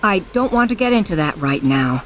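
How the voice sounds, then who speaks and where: A woman's voice: mid-range voice, animated, moderate pace, a bit bright, slight background noise, close to an everyday voice. An actress playing a guarded, stern woman hiding from her past in an audio drama, speaking tersely and firmly.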